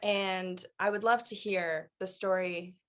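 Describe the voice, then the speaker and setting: A woman's voice: mid-range voice, fairly even intonation, a little slow. Female interview host, mid-range voice, attentive and encouraging, conversational delivery.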